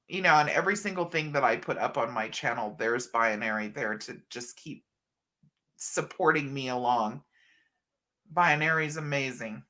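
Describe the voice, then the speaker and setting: Stuffy scratchy-voiced woman doing a late night livestream, bright tone, chatty and sweet, calls folks hun. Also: a bass voice, lively intonation, normal speed. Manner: affectionate, chatty, calls viewers hun and honey. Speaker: livestream host. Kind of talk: casual livestream chat reading comments.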